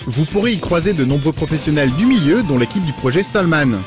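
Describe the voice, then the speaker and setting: A man's voice: a little high, animated, brisk. Upbeat male anime-news voice-over. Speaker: animation news presenter. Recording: voice-over with loud music bed.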